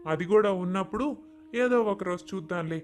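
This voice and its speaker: Curt, irritable husband, rather high voice acted by a man. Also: brisk, lightly acted. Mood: irritated, dismissive. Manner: curt, dismissive. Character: selfish, irritable middle-aged husband. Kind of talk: animated moral story dialogue.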